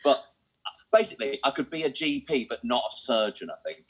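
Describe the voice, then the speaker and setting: Husky man stammering through stories. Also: medium pitch, big pitch swings, fairly quick, a bit bright. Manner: stammering, repeats words when starting. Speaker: comedian guest. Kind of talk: anecdotal comedy chat. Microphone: video call.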